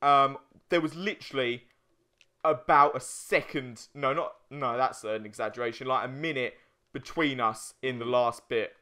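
A young man with a bright, clear-cut voice co-hosts a let's play. He talks casually while he plays, in an informal, sweary way. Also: mid-range voice, moderate pace. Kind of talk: casual chat while playing a video game.

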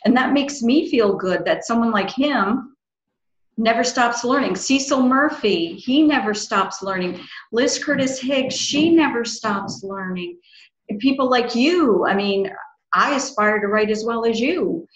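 A middle-aged woman hosts an interview in a highish voice, effusive and encouraging toward her guest. The audio is echoey and slightly dull, like a video call from a small room. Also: expressive, measured pace. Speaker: host. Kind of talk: conversational interview. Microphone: video call, close to webcam.

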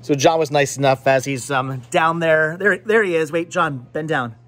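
A man in his forties with a slightly high voice, vlogging as he shops indoors. He rambles playfully, breaking off and correcting himself, in a casual, chatty style into a camera held at arm's length. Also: normal speed, a bit bright. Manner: rambling, self-interrupting, playful. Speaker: vlogger and movie collector. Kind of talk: casual chatty shopping vlog. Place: indoor video and game shop. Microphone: handheld camera at arm's length.

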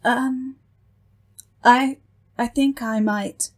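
A meek little demon underling, acted by a woman for a comic audio play. The voice sits a bit high and moves slowly, hesitating with earnest care over each thought. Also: fairly even intonation. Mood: hesitant. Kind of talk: comic audio drama acting.

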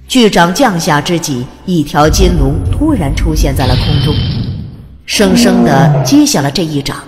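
A woman's voice: low voice, animated, normal speed. A woman narrating a fantasy audiobook in a dramatic, scripted storytelling style. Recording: long reverb over music. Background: music.

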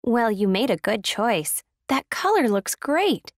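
A friendly, complimentary woman makes scripted small talk. Her voice is high and very lively in melody, recorded dry. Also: slow, no room sound, full character voice. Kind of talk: scripted English listening-exercise dialogue.

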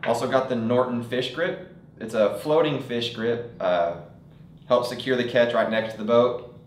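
A man's voice: medium pitch, normal speed, echoey room, a little hiss or hum. A young man presenting fishing products at a table in a studio, talking casually with frequent fillers. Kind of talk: product demonstration.

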